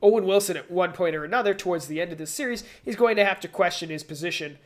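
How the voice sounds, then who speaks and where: A man's voice: high voice, fast. Musing male podcast co-host. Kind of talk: casual pop culture discussion.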